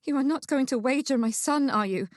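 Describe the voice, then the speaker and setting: A woman's voice: mid-range voice, little pitch movement, normal speed, no echo, studio quality, lightly acted. A woman voicing an anxious, strong-willed young mother in a Regency romance audiobook, urgent and formally worded. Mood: indignant.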